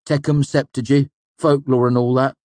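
A man's voice: medium pitch, flat delivery, moderate pace, darkish tone, no room sound, voice pushed up, lightly acted. A man voicing an eccentric older male student in a storybook, blunt, wry and given to teasing and grumbling. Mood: weary, conciliatory.

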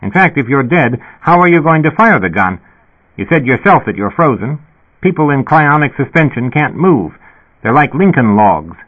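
A man's voice: medium pitch, sing-song, moderate pace, pitched above the performer's own voice. A man voicing a fussy male police dispatcher who sputters over an intercom. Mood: exasperated. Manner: sputtering, exasperated. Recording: tinny phone-like sound.

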